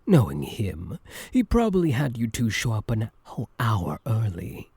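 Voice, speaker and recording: A man playing an obsessive, menacing yandere supervillain in an intimate, theatrical ASMR roleplay, with a breathy, deliberate delivery. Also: on the low side, wildly swooping pitch, a little mellow, no echo, full character voice. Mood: mocking.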